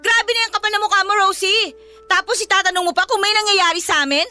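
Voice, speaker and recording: A young Filipino girlfriend with a hot, jealous temper. Her voice is very high and piercingly bright, and she hurls scolding questions in radio drama style, with faint music underneath. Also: steady tone, quick pace, no room sound. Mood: furious, scornful. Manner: confrontational, scolding, emphatic rhetorical questions.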